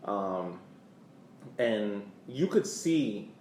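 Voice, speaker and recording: An American man co-hosting a podcast, talking casually about sports in a halting way, repeating words as he searches for phrasing. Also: on the low side, expressive, darkish tone. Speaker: podcast co-host. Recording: echoey room, distant mic, faint background noise.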